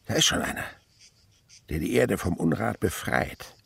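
A man's voice: low voice, animated, normal speed, gravelly, a bit bright, husky, full character voice. An actor playing an eccentric, curious insect researcher and father in a radio play, lecturing and musing theatrically. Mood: fascinated.

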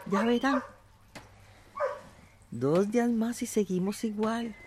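A woman's voice: on the high side, slow pace, noisy background. A woman playing a tender, worried country aunt in a radio drama, speaking plaintively. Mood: tender, weary. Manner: tender, plaintive, talks to herself. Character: tender, worried rural aunt who prays to Mother Earth.

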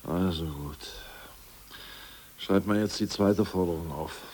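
A grumbling deposed party official. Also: male voice, low-pitched, takes it slowly, a little mellow, long reverb tail, slight background noise. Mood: hesitant. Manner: grumbling, hesitant, fussy. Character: ousted male Politburo member, fretful and grumbling. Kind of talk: 1980s radio play acting.

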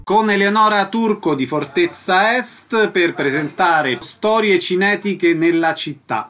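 Higher-pitched male radio interviewer. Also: fairly bright tone. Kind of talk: radio interview, announcing event details.

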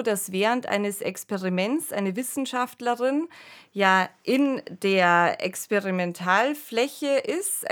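Reflective woman hosting a conversation. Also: mid-range voice. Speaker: podcast host. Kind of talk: conversational discussion of a radio play.

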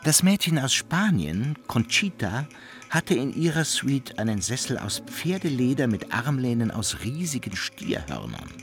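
A man narrating a satirical radio play as a wry, measured storyteller who addresses his listeners. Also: medium pitch, wildly swooping pitch, fairly bright tone, exaggerated voice. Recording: music behind the voice.

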